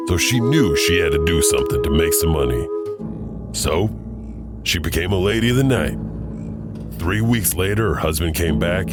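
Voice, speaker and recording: Creepy janitor host with deep swooping voice, loud music behind. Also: male voice. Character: creepy old hotel janitor host, chatty, eerie. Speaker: host narrator. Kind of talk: audio drama narration. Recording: music bed under voice.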